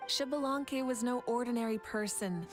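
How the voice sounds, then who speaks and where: A woman voicing a calm, confident female leader in a video game, assured, easygoing and reassuring. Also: on the high side, normal speed, lightly acted. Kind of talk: video game character acting. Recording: game music behind the voice.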